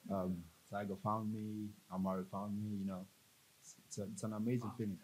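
A young man, a college basketball player, answering reporters cheerfully and haltingly at a press table with a desk mic. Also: fairly low pitch, flat delivery, darkish tone, slight background noise, low-quality recording. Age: young adult. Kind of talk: postgame press conference answers. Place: press conference room, seated at a table. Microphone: desk mic on a stand.

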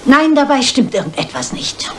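An actress playing a shrewd, bossy elderly lady detective who reasons aloud briskly and with authority in a German crime drama. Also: high-pitched, very animated, a bit bright, slight background noise. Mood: deliberate, insistent.

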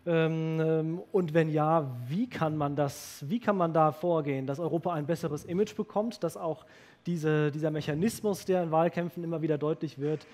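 A middle-aged man moderates a panel discussion on stage, phrasing long questions and pausing often with fillers as he builds them. His voice is fairly high for a man, picked up by a handheld mic. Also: steady tone, darkish tone. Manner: hesitant questioning, many fillers. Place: stage of a lecture hall. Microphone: handheld microphone.

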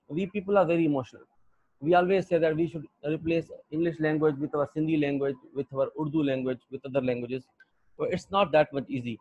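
A young Pakistani man with a Pakistani accent, lecturing an online English class in a halting way with many fillers. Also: a little high, fairly even intonation, a little mellow, no echo. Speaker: English teacher. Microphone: computer mic on a video call. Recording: dull lo-fi video call audio.

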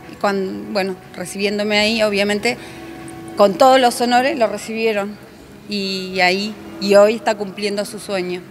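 An Argentine woman in her thirties, a hairdresser and proud mother, talking at length in an interview at an indoor event. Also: medium pitch. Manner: proud, talkative storytelling. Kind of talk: interview answers. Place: indoor school hall.

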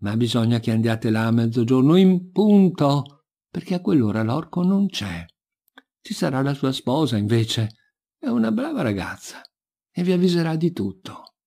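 A man's voice: mid-range voice, dark tone, slightly rough. A man voicing a cautioning, bossy but helpful monastery prior in a storybook reading.

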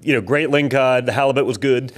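A man's voice: medium pitch, fast. A man co-hosting a podcast, chatting casually in a blunt, informal way. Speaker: podcast co-host. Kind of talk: casual chat.

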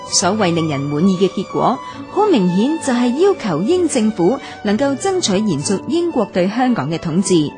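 Female radio narrator, measured scripted reading with an animated pitch line over background music. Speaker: documentary narrator. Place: radio studio.

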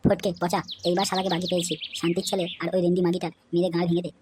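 A woman's voice: on the low side, level tone, very fast, noisy background, natural voice. A woman voicing a hot-tempered, foul-mouthed male village club leader who barks abuse and orders. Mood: angry. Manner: shouting abuse, bossy. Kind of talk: Bengali comedy cartoon dubbing. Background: background music.

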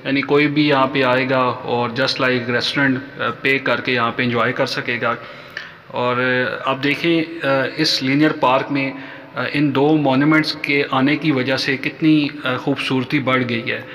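A man with a Pakistani accent giving an informal site tour as a vlog host, halting and politely formal with viewers. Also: mid-range voice, level tone, bright, forceful tone, big-room sound, a little hiss or hum. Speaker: real estate vlogger and host.

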